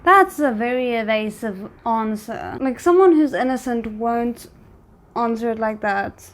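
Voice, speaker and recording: A woman's voice: fairly high pitch, expressive, measured pace. A woman hosting a reaction video, talking casually and thinking aloud as she comments.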